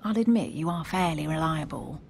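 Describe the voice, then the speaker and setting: A woman's voice: low-pitched, lively intonation, brisk, creaky, a little hiss or hum. An actress playing a guarded, witty, self-possessed woman of a secret society in a video game, composed and articulate. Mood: wry, guarded. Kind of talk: dramatic video game dialogue. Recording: music under the voice.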